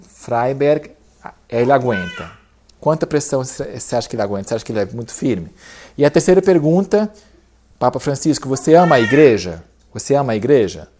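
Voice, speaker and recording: A man's voice: fairly high pitch, dark tone. A man with a Brazilian Portuguese accent giving a casual religious lecture, talking in a loose, conversational way with many fillers and tag questions.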